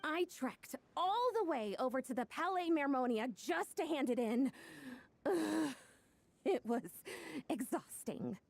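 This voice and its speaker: High, animated, flustered young woman. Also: measured pace, creaky, exaggerated voice. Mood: chatty. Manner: hesitant, expressive, apologetic. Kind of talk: video game voice acting.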